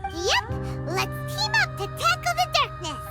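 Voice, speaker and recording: Squeaky, very high childlike fairy companion. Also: female voice, wildly swooping pitch, unhurried, exaggerated voice. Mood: eager. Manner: cutesy, speaks of self in third person. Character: childlike floating fairy companion, perky, chatty, excitable. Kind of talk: anime game dubbing. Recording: clean voice under prominent game music.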